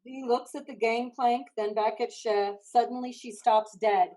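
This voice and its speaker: A woman's voice: fairly high pitch, fairly even intonation, a little slow, warm tone, rough recording. A woman reading stage directions as scripted narration for a play.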